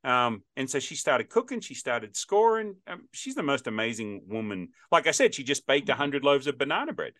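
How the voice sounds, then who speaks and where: A man's voice: medium pitch, big pitch swings, normal speed, few pauses, fairly bright tone. A man in his fifties hosting a podcast interview, chatting in a rambling, storytelling way with frequent restarts. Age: 50s. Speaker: podcast host. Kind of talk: casual interview chat.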